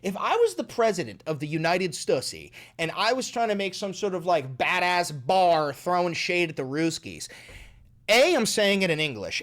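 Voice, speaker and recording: A political commentary host ranting casually, excitable and sarcastic, swearing freely and repeating the punchlines. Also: mid-range voice. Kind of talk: profane casual rant.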